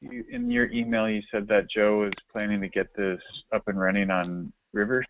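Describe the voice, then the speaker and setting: Low-voiced man on a thin phone line. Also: flat delivery, moderate pace, slight background noise. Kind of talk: casual work conversation. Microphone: phone call.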